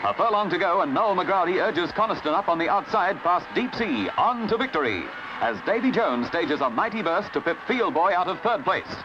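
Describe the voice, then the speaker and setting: An Australian man reading scripted 1950s newsreel narration in a brisk, colourful announcer's delivery, on a vintage soundtrack. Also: high voice, sing-song, dull audio, background noise. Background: music.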